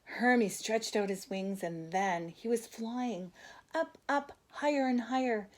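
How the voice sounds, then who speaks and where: A woman's voice: a little high, a little slow. Older woman reading a children's story. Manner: gentle, expressive, with asides to a child listener. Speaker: grandmother storyteller. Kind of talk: reading a children's picture book aloud.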